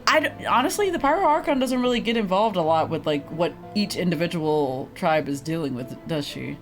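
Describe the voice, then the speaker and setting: Girl streamer chatting over a game, blunt n sarcastic, very animated, bit of music behind. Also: on the low side, brisk. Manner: blunt, sarcastic, swearing, giggly. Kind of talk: casual game commentary.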